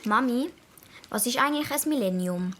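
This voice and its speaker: A bright-eyed, high-voiced bold Swiss girl. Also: moderate pace, a little hiss or hum. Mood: curious. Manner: eager, inquisitive, excited. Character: Ju, bold, curious girl twin, adventurous and impulsive. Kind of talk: children's radio play acting.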